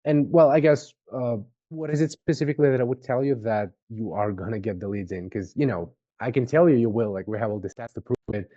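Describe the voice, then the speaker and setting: A man making a conversational sales pitch on an online call, informal and often checking in with questions. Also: a little fast, hardly any pauses, warm tone, no room sound, lo-fi sound. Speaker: sales representative for a marketing agency.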